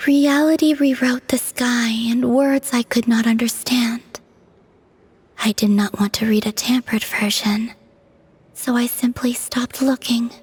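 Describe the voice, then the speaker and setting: A woman voicing a serene, melancholy young moon goddess, breathy and whispery, speaking softly and poetically in a video game dub. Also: fairly high pitch, fairly even intonation. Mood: wistful. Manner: soft, slow and poetic.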